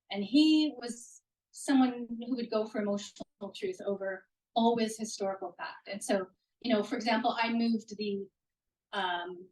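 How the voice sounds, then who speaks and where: A woman's voice: on the high side, rough recording. A woman author answering questions at a live bookstore reading, rambling with restarts, speaking into a handheld mic. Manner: rambling, warm, with restarts and fillers. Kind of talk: casual live Q&A at a book reading. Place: bookstore event room. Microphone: handheld microphone.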